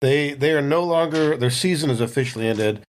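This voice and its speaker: A man's voice: on the high side, fairly quick, slight background noise. A man in a podcast cast, chatting casually in a jokey, mock-serious way. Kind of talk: casual chat.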